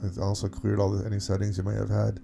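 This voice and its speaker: A man giving a casual, unscripted software walkthrough, explaining things step by step in an informal way. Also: lowish voice, monotone, quick pace, gravelly, music in the background. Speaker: tutorial presenter.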